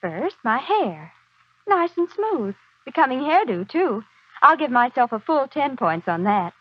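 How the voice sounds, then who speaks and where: An actress playing a chirpy young housewife musing to herself in a 1940s radio advertisement, perky and confiding. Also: female voice, high voice, sing-song, a little slow, no room sound, full character voice. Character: chirpy, self-appraising young housewife at her mirror. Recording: dull, dry 1940s radio broadcast.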